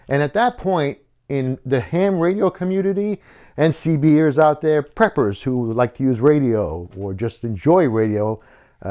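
Man, fifties, average pitch, casual tutorial host, conversational, step-by-step explainer. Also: darkish tone. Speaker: host of a how-to video channel. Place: wooden home workshop, indoors.

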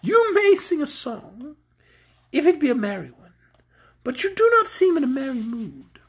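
A woman's voice: sits high, sing-song, normal speed, pitched above the performer's own voice. A woman voicing a cruel storybook queen, imperious, mocking and haughty. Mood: amused command. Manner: commanding, teasing, haughty. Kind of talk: children's storybook read aloud.

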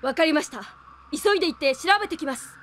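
A woman's voice: high-pitched, animated, quick pace, fairly bright tone, a little hiss or hum. A woman voicing a heroic young robot boy in an anime, earnest and brave. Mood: concerned, polite. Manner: earnest, polite to his elder. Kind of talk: anime voice acting. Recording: music behind the voice.

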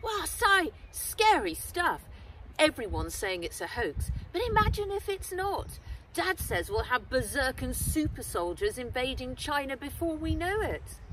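A woman voicing an excitable, gossipy young friend in a storybook, chatty and emphatic. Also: sits high, unhurried, voice pushed up, full character voice. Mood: excited. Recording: distant mic, noisy outdoor ambience.

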